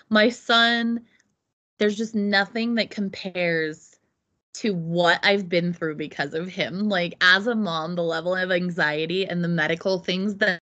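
A woman's voice: lowish voice, steady tone, takes it slowly, a bit bright, highs cut off. Woman in her thirties telling her story. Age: 30s. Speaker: podcast guest. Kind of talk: casual conversation.

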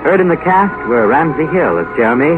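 A man's voice: fairly high pitch, very animated, fairly quick, over music. An American man reading scripted announcements as a 1950s radio announcer. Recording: tinny 1950 radio broadcast.

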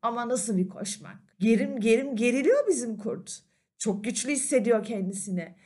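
A woman storyteller narrating a children's fairy tale in an expressive, conversational way. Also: on the high side, normal speed, breathy edge. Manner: animated, conversational, addresses listeners. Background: faint music.

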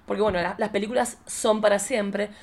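An Argentine woman hosts a relaxed podcast conversation. Her voice sits at an ordinary middle pitch for a woman, and she talks expressively, with theatrical turns of phrase. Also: brisk, vocal fry. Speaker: podcast host. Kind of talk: casual conversational interview.

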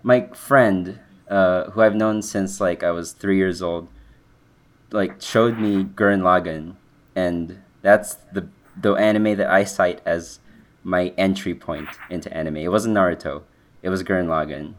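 A man's voice: sits low, steady tone, a little slow. A young man from the Philippines with a nasal voice, chatting casually on a podcast, rambling and correcting himself. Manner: rambling, self-correcting, lots of likes. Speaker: podcast co-host. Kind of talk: casual chat.

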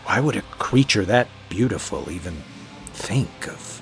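A man's voice: lowish voice, lively intonation, unhurried, creaky, music in the background. A young man playing an eager, smitten rookie late-night radio DJ in an audio drama, with a casual, slangy manner. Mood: brooding narration.

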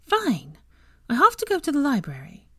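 Lady doing headstrong young witch, exasperated n suspicious, voice goes high and swoopy, storybook style. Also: wildly swooping pitch, normal speed, bright, forceful tone, dry recording, studio quality, exaggerated voice. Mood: indignant. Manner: exasperated, emphatic.

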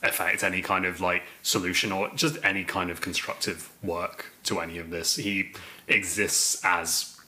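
A man's voice: sits low, steady tone, normal speed, pushed and bright. A man co-hosting a casual political podcast, with a wry, sardonic and self-deprecating way of talking. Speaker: podcast co-host.

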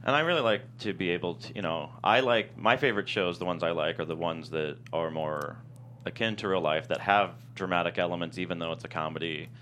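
A man giving a casual interview as a guest writer, talking in a halting, reflective way. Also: deep voice, sharp tone, a little hiss or hum. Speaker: guest screenwriter.

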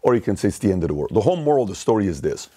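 A man's voice: lowish voice, rapid-fire, husky. A man hosting a motivational video, telling stories to the camera and acting out worried voices. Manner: animated storytelling, acts out worried voices.

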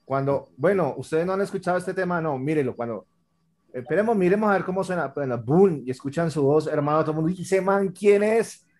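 Friendly radio host, talking fast. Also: male voice, on the high side, a little mellow. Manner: friendly, rambling, calls guest "hermano". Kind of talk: casual interview.

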